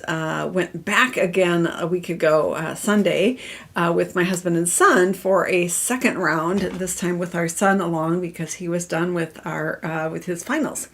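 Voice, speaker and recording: A middle-aged woman hosts a live craft tutorial from her home craft room, her voice a bit low and stuffy from a cold. She talks in a loose, friendly way, full of ums and small laughs at herself. A cough drop slightly thickens her words. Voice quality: voice not strong, cough drop in mouth. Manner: chatty and friendly, many fillers, laughs at herself. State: congested from a cold. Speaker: craft demonstrator hosting a live stream.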